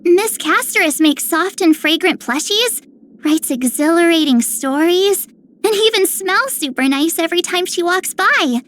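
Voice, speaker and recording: A woman's voice: very high voice, animated, unhurried, a bit bright, exaggerated voice. A woman voicing a little girl in a video game, cheerful, guileless and childlike in how she exclaims. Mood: bubbly, admiring. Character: little cheerful, guileless girl who gushes over friends. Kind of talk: video game character voice lines.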